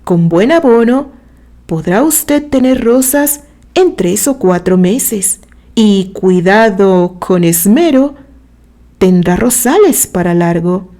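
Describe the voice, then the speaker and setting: A woman reads the part of a male gardener in an audio story. He is courteous and practical, explaining patiently to his employer. The voice is mellow and unhurried. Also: medium pitch, lively intonation. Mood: polite, practical. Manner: polite, explanatory.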